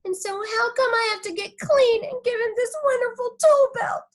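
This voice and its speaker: A woman voicing a dramatic, whiny, excitable little girl in a children's audiobook, with childish grammar and indignant exaggeration. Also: very high-pitched, normal speed, pitched above the performer's own voice. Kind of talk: first-person children's audiobook reading.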